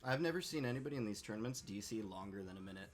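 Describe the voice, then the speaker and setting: A man's voice: on the low side, expressive, rapid-fire. A man in a casual voice chat who states firm opinions assertively.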